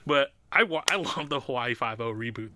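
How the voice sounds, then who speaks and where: A man in his thirties chatting casually with friends, animated and playful, often laughing. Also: mid-range voice, brisk, slight background noise. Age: 30s. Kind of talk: casual chat.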